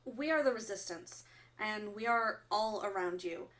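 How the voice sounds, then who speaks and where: A woman playing an earnest, stern resistance fighter who delivers solemn, deliberate warnings in a radio drama broadcast. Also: mid-range voice, a bit bright, lightly acted, low-quality recording. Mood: solemn, urgent. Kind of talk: audio drama acting as underground radio broadcast.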